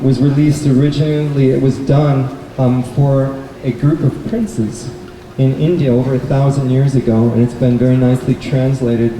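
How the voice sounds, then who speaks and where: A man presenting a live puppet show, telling stories and explaining their lessons to children in a measured, didactic way. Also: medium pitch, dark tone. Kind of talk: live storytelling and talk to children. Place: indoor bookstore event. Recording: echoey, noisy room, distant mic.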